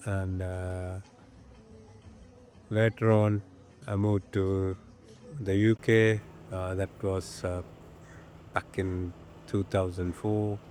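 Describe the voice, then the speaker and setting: A man in his fifties with a Sri Lankan accent, reminiscing in an interview and often pausing mid-phrase to find his words. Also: deep voice, flat delivery, a little mellow, slight background noise. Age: 50s.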